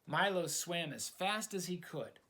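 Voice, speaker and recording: A man reading a children's story aloud with dramatic, expressive narration aimed at young listeners. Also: sits high, measured pace, slight background noise. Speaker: storytime reader.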